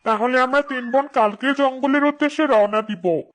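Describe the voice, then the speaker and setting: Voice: high voice, moderate pace, a bit bright. A performer voicing an earnest, worried young potter sister in a village cartoon. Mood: matter-of-fact. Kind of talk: cartoon voice acting. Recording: slightly noisy track.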